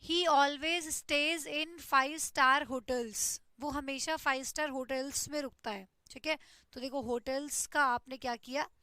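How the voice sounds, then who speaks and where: Bright high-voiced woman teaching grammar. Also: steady tone, slow pace, no room sound. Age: 20s. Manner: explanatory, repeats "ठीक है" to check understanding. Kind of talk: online grammar class.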